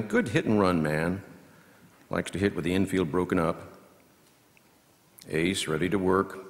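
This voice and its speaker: A man performing a scripted baseball play-by-play as an announcer, in measured, clipped phrases that can swell into excited calls. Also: low-pitched. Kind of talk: scripted radio-style baseball commentary, read as a performance. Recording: long reverb of a large hall.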